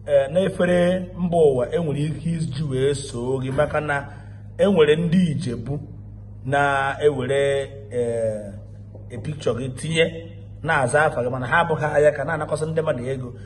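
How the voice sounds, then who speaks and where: A man's voice: on the high side, normal speed, slight background noise. A man with a Nigerian Igbo accent, politely asking interview questions. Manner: formal, courteous questioning.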